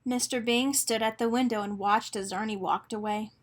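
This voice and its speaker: A woman reading a children's picture book aloud as an expressive narrator. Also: on the high side, normal speed.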